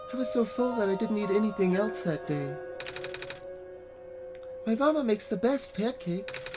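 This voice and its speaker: A woman's voice: mid-range voice, lightly acted. A woman voicing an innocent, chatty child in a video game, asking things in an earnest, inquisitive way. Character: innocent, chatty child who dreams of pancakes. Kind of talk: voiced video game dialogue.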